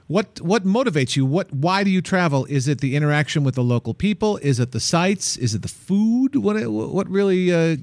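A man's voice: on the high side, a little mellow, no room sound. A man hosting a radio travel show, upbeat and joking, who often restarts his questions. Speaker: host.

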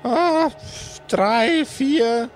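A man's voice: very high voice, drawn-out words, faint music behind, pitched above the performer's own voice, exaggerated voice. A man voicing an encouraging but hesitant male companion in an improvised fantasy audio play. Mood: unsure.

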